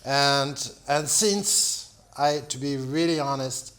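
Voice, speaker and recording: A man from France, a champagne producer telling stories in a rambling, animated way, full of restarts and "you know". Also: mid-range voice, slow pace. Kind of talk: storytelling in casual conversation.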